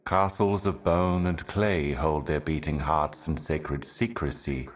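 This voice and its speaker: A man voicing an ancient, weary otherworldly envoy in a video game, delivering cryptic poetic monologues in a grave, brooding, measured manner. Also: a bass voice, steady tone. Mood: resigned. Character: ancient solemn otherworldly envoy, weary eternal servant of cosmic darkness.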